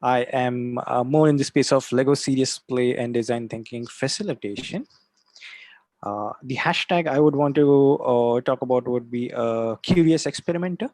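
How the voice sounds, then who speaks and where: A man who works as a design thinking facilitator introducing himself over a video call in a steady, explanatory way. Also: mid-range voice, normal speed, no room sound. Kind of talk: self-introduction in an online workshop.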